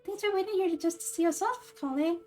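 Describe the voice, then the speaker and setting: A woman voicing a small, chirpy, excitable childlike fairy companion in a video game, with a high squeaky voice. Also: fast, soft background music. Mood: bright, eager. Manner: excitable, perky.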